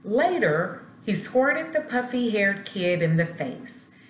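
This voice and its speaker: A woman principal reading a children's picture book aloud in an expressive, deliberate storytelling way, with asides to her students. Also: mid-range voice. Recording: roomy echo, faint background noise.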